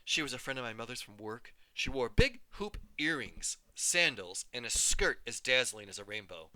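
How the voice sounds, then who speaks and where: Animated man reading a story aloud. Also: mid-range voice, normal speed, no room sound. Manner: animated, voices each character. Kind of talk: expressive read-aloud storytelling.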